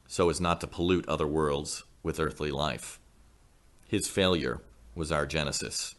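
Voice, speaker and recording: A man's voice: a bass voice, fairly bright tone. A man reading a science fiction story as dramatic scripted narration. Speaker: story reader.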